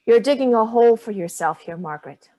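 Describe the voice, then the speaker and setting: A woman acting in a staged play reading as a stern older mother, blunt and curt, with a husky voice. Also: on the high side, animated, a little fast, mellow, close to an everyday voice. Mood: exasperated.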